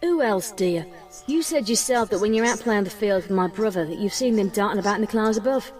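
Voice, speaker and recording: A woman's voice: medium pitch, animated, a little fast, a little mellow, distant mic, faint music behind, natural voice. A woman playing an earth pony mother in a fan audio story, affectionate to family but grumbling and proud. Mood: grumbling, motherly. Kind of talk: fan audio drama acting.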